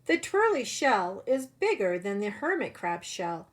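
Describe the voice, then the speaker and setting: A woman preschool teacher telling a story to young children in an expressive way, with simple phrasing and direct questions. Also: mid-range voice, unhurried, background noise.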